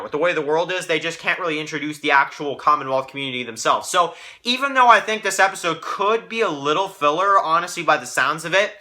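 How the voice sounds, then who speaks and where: A man's voice: a little high, bright, forceful tone. A man hosting a casual review video, talking to fans in a rambling way and often repeating himself. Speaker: host of a TV review channel.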